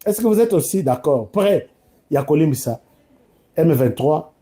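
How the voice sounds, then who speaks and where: A man's voice: on the high side, expressive, moderate pace, dark tone. A man from DR Congo with a Congolese accent, giving informal, emphatic political commentary that addresses listeners directly and switches between two languages.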